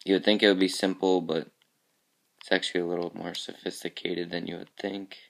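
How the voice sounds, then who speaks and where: A man walking through how he built his game levels, talking casually with a groggy, raspy voice because he has just woken up, often trailing off into quiet mumbles. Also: low-pitched, little pitch movement, brisk. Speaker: level creator showing his game work. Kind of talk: casual screen-capture walkthrough.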